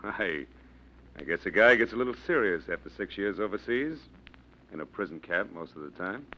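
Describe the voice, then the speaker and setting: Breezy returned brother. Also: male voice, sits low, animated, normal speed. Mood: guarded. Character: man claiming to be a returned brother. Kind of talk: 1940s radio acting. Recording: dull old radio broadcast.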